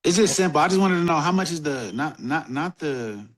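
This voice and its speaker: A man asking questions on a video call, speaking softly and hesitantly, often putting himself down. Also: fairly high pitch, lively intonation, brisk. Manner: soft-spoken, hesitant, self-deprecating. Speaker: webinar participant.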